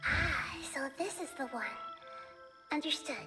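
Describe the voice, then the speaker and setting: A woman voicing a gentle, thoughtful child deity in a video game, articulate and wise beyond her years. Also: high-pitched, a little slow. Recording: game music behind the voice.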